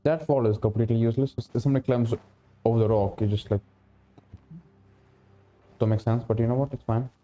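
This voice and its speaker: A man's voice: mid-range voice, steady tone, fast talker, pauses often, mellow. A man bantering casually over gameplay in a slangy, offhand way as a stream co-host.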